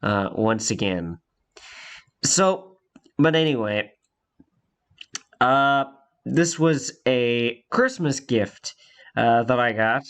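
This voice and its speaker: A man's voice: mid-range voice, expressive, drawn-out words, long pauses, a bit bright, clean tone. A congested young man telling a rambling personal story in a casual vlog, with many fillers and restarts. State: congested from a cold.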